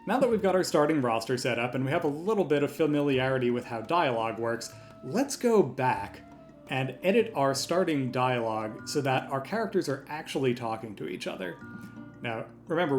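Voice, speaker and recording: A man hosting a software tutorial, talking through each step in an informal, explanatory way. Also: mid-range voice. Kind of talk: step-by-step screen tutorial narration, conversational. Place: voice-over for a screen recording.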